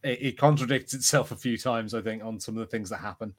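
A man's voice: on the low side, fast talker. A man chatting casually as a podcast co-host, with a wry, joking way of talking. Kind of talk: casual pop-culture chat.

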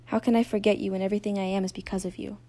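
Woman acting trapped teenage girl, pleading, emotional, dramatic, mellow timbre, audio story heroine. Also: mid-range voice, flat delivery, rapid-fire, lightly acted. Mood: heartbroken. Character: desperate fourteen-year-old girl Louise, trapped and pleading.

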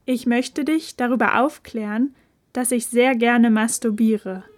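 A woman in a radio play giving a relaxed, personal confession. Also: fairly high pitch, a little slow, no echo. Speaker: performer in an audio play. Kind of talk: scripted educational radio play reading.

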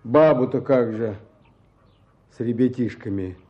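An actor playing a gruff, reluctant village fisherman and family man in a Russian radio play. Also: mid-range voice, a little slow, warm tone, close to an everyday voice. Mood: reluctant, troubled. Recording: dull old radio play recording.

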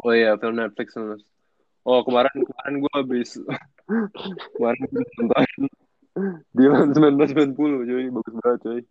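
A young man with a Jakarta Indonesian accent co-hosts a casual podcast. He banters in slang and slips in English words, with an average-pitched voice. The sound is dry and slightly dull, in a lo-fi way. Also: normal speed, long pauses, dry recording. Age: young adult. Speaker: podcast co-host.

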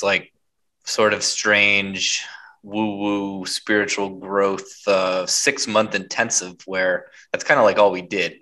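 A man's voice: lowish voice, low-quality recording. A man in his thirties hosting a podcast interview, chatting casually and laughing often. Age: 30s. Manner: laughs often, acts out quoted people with animated voice. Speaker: podcast host.

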